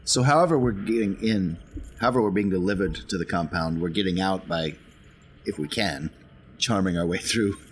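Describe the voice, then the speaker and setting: A man's voice: low voice, moderate pace, a little hiss or hum. A man playing a tabletop roleplaying game, improvising his character's actions and plans in casual talk.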